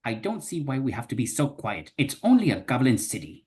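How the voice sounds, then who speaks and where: A man's voice: mid-range voice, sing-song, a little fast, mellow, natural voice. An actor in an online dramatic reading playing a brave, boastful little male fox knight who talks in old chivalrous speech. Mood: indignant. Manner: archaic chivalrous speech, blustering. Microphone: video call.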